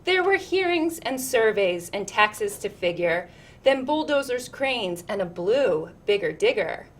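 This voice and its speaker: A woman's voice: medium pitch, sing-song, unhurried, a little hiss or hum. A woman reading a children's picture book aloud, expressively, with chatty asides. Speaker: storytime reader.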